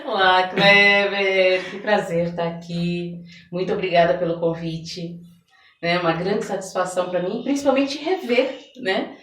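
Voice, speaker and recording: A woman's voice: lowish voice, steady tone, unhurried, fairly bright tone. Brazilian woman guest, echoey and distant. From São Paulo, Brazil. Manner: often checks in with tag questions. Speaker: podcast guest, mentor. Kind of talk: personal storytelling in a conversational interview. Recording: echoey room, distant mic.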